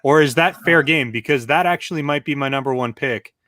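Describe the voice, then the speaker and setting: A man's voice: medium pitch, a little fast, fairly bright tone, dry recording, clean studio sound. A man on a panel chatting casually and giving his opinions in a conversational way.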